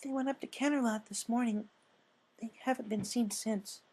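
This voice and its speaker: A narrator voicing a gentle, timid young female pegasus pony in a soft, hesitant storybook voice. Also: medium pitch, fairly even intonation, normal speed, pitched above the performer's own voice, natural voice.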